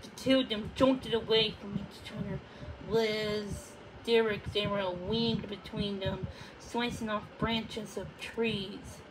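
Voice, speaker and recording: A woman reading a children's book aloud, haltingly, stumbling over words and repeating them. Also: mid-range voice, fairly even intonation, background noise. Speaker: storybook reader.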